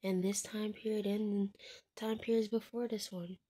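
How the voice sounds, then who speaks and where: A woman in her twenties speaking candidly to her viewers, frank and heartfelt, often dropping to a soft hushed voice and swearing bluntly. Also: medium pitch, little pitch movement, normal speed, slightly rough. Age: 20s. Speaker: vlogger. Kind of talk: candid personal monologue to viewers.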